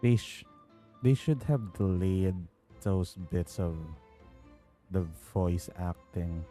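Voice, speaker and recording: A man's voice: sits low, slow, mellow, soft background music. A man with a Filipino accent giving casual, offhand commentary as he streams a game. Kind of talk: casual live gameplay commentary.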